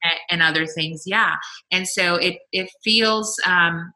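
Casting note: woman co-host and doctor, very bright, sharp tone, eager and upbeat. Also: fairly low pitch, slow pace, low-quality recording. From family from India. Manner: warm, enthusiastic, laughing often. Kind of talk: casual podcast chat.

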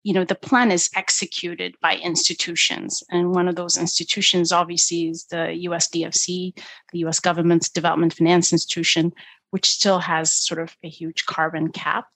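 A woman from Ethiopia, a guest on a podcast interview, talking policy in an animated way with lots of fillers like "you know" and "like". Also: on the low side, normal speed, dry recording. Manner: animated, thinks aloud with many fillers. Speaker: guest, energy policy expert.

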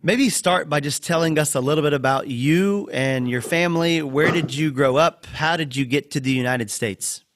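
A man hosting a podcast interview, introducing his guest and asking questions in a warm, friendly way. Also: a little high, normal speed, few pauses, a little hiss or hum. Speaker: podcast host.

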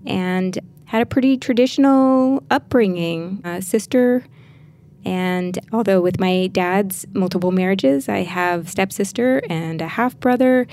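A woman's voice: medium pitch, a little slow, a little mellow. A woman from Kansas with an American accent, a podcast guest talking reflectively about personal experiences in conversation. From Kansas, United States. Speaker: interview guest, art teacher.

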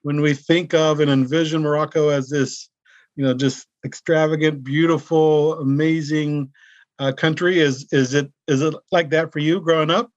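American male podcast host, higher voice, halting question style, dry studio mic, light music bed. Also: steady tone, no room sound, lo-fi sound. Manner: hesitant, many fillers and restarts in questions. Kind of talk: scripted intro, then casual interview.

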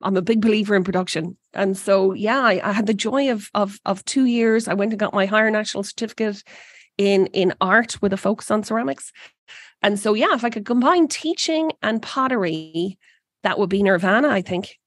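Irish executive guest, forties, eager talker, dry close mic. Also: female voice, medium pitch, a little fast, no room sound. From Ireland. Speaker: energy company president, guest. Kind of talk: interview conversation.

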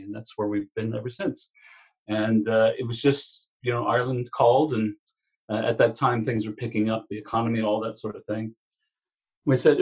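A man's voice: medium pitch, darkish tone, breathy edge. A man, a guest chatting casually and rambling with many restarts. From Texas. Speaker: guest, business coach. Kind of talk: casual interview chat. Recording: dull lo-fi remote line.

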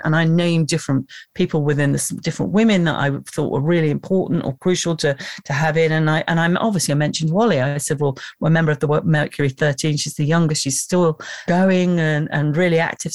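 A woman, a documentary producer and presenter, telling animated stories in an interview and laughing often. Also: deep voice, no room sound, clean studio sound. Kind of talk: conversational interview storytelling.

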